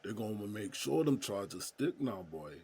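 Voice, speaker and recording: An American man playing a streetwise, seasoned inmate in an audio drama, with a husky voice and folksy, slangy talk. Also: fairly low pitch, sing-song, a little slow, dark tone, heavily acted. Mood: emphatic, urging. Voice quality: husky, gravelly. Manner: folksy street slang, probing rhetorical questions. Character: streetwise, seasoned male prison inmate mentoring a younger friend.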